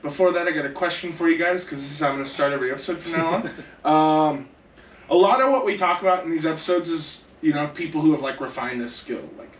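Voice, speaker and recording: A man's voice: fairly high pitch, fast talker. A young man co-hosting a casual gaming podcast, upbeat and leading the talk with questions. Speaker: podcast co-host. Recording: roomy echo, distant mic, faint noise.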